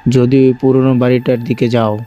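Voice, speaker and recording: A man's voice: mid-range voice, level tone, normal speed, mellow, faint music behind, close to an everyday voice. A man voicing a fearful, wrinkled old villager in a horror story, giving grave, cautionary warnings. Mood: ominous warning.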